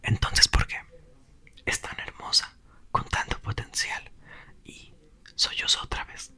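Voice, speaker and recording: A man reading a storybook in a whisper, voicing a tender, grieving mother. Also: measured pace. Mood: sorrowful. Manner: whispered, soft.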